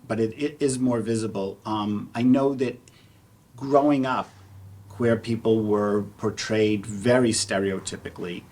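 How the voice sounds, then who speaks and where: A man talking casually as a panelist at a community theater discussion. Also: fairly low pitch.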